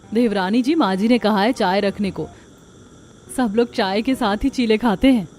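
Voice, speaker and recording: A woman's voice: medium pitch, moderate pace, a little mellow, slight background noise, lightly acted. A woman voicing a sly, jealous older sister-in-law in a family cartoon, slyly excusing herself. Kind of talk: Hindi family cartoon dubbing.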